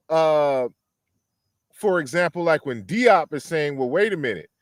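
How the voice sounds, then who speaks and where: A man giving a measured live-stream talk on language classification, reading quotes aloud and often restarting phrases. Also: on the high side, no echo, studio quality. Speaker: guest lecturer on African languages.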